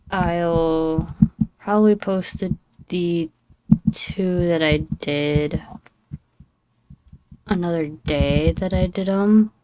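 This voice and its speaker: A young woman chatting through a game session in a slow, unhurried drawl, her voice a little scratchy from just waking up. She sits somewhat low in pitch and sounds dry and close. She rambles, apologizes and pokes fun at herself. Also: dark tone, clean tone, no room sound, lo-fi sound. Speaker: gaming channel host. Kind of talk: casual gameplay commentary.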